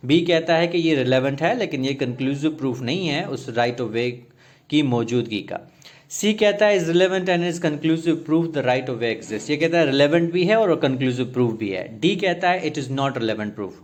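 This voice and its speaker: A man with a Pakistani accent teaching exam preparation, reading questions and options aloud and explaining them step by step while mixing in English terms. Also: fairly high pitch. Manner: code-switches into English legal terms, paraphrasing step by step. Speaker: law exam tutor.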